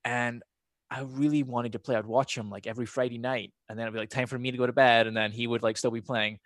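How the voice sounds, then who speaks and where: A man's voice: medium pitch, little pitch movement, fast, fairly bright tone, no echo. A man from Scotland with a half Scottish, half American accent, chatting casually as a podcast guest and telling stories in a rambling way. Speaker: podcast guest, former pro gamer. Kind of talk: casual chat, personal storytelling.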